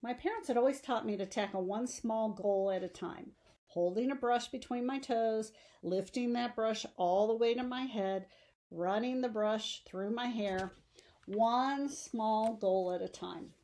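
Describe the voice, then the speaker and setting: A woman reads a novel aloud in an expressive, audiobook-style storytelling delivery. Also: on the high side, measured pace. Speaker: teacher reading a novel aloud.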